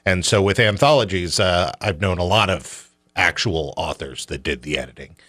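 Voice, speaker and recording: A man's voice: low-pitched, moderate pace, bright, forceful tone, no echo. A man hosting a radio show in a casual, colloquial, opinionated and joking conversational style.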